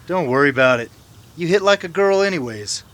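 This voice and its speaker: A man playing a proud, prickly cowboy father in a western radio drama, talking in a terse, gruff manner. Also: fairly high pitch, animated, brisk, fairly bright tone, pitched above the performer's own voice. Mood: terse, dismissive. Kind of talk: old-time western radio drama acting.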